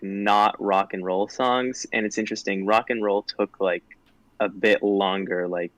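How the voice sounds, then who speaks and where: A young man with a lowish voice, casually walking through songs and their history. His talk is loose and full of "like", and the recording sounds dry and close. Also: dry recording, poor audio. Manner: relaxed and chatty, lots of "like". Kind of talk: casual explaining on a live stream.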